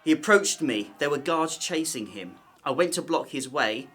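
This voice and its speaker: A man's voice: fairly high pitch, animated, moderate pace, a bit bright. A man playing an anxious, wary conspirator in an ancient Egyptian palace, in radio drama style. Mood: grim, fearful.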